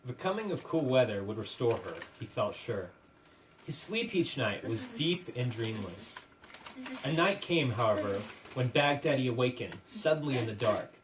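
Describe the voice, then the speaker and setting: A man narrating a puppet show as dramatic storytelling, in a measured, theatrical, literary manner. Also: medium pitch, animated. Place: live puppet show performance, room. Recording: distant microphone with faint room noise.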